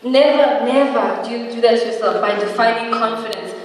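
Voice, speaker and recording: A young woman in her twenties gives a motivational talk to a live audience, chatty and joking, often tossing in quick questions to the crowd. Her voice rings through a large hall with long reverb, picked up from some distance, with a faint hiss behind. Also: fairly high pitch. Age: 20s. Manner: humorous, laughs at own jokes. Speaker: motivational speaker. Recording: echoey hall, voice from far off.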